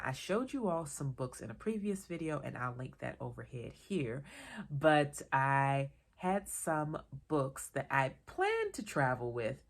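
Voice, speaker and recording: A woman vlogger in casual solo chat, talking in a relaxed, chatty, wandering way, a little tired and congested from a cold. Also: extremely low pitch. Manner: chatty, relaxed, digressive with small laughs. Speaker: vlogger and author.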